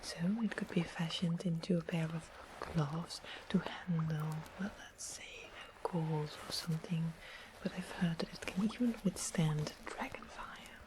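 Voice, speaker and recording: A woman playing a sly, sweet-mannered witch in a whispered ASMR fantasy roleplay, explaining things slowly and deliberately, close to the microphone. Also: low-pitched, steady tone, low-quality recording. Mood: calm, sly. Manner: slow, deliberate, savoring explanations. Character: sweet-smiling, sly witch of the west, fantasy potion-keeper. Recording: close whispered recording with constant background noise.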